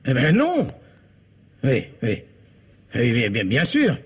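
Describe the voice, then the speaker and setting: A man's voice: mid-range voice, huge pitch swings, takes it slowly, husky, exaggerated voice. An actor playing an anxious, irritable husband in his fifties, stammering and exclaiming in the style of old French radio drama. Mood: worried. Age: 50s. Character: anxious, irritable middle-aged husband and father, Robert.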